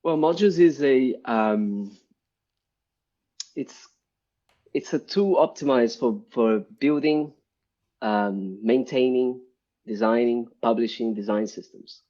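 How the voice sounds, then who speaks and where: A man's voice: mid-range voice, slow, pauses often, warm tone. A man from Brazil, a guest on a casual live-stream interview, explaining at length with many ums and restarts. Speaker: guest, UI developer. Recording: dull lo-fi stream audio.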